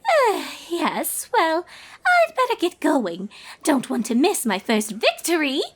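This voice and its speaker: Girl voicing a worried fancy pony lady, really high and super swoopy, stammers, fretful story character. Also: very animated, takes it slowly, heavily acted. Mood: anxious. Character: nervous, refined young female pony, chattery worrier.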